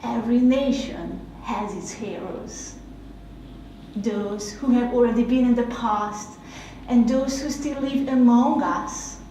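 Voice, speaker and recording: A woman with a Hungarian accent, a native Hungarian speaker, giving a reflective spoken essay in a slow, measured, heartfelt way. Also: a little high, warm tone, slight background noise. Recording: very echoey room, mic at a distance.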